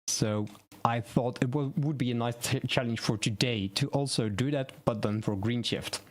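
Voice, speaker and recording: A man's voice: mid-range voice, normal speed. Dutch-native man chatting through tech news. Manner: rambling, self-correcting, thinking aloud. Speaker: web design streamer. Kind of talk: casual tutorial live stream.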